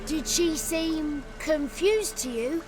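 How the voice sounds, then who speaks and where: A woman's voice: high-pitched, takes it slowly. A woman voicing a cheeky childlike male swamp spirit in a video game, with theatrical, quaint rustic turns of phrase. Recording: music under the voice. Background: game music.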